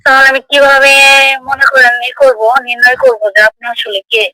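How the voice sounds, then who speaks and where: A Bangladeshi woman with a high, bright voice speaks over a phone call. She is composed and firm, pressing questions in a conversational way. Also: fairly even intonation, moderate pace, no room sound.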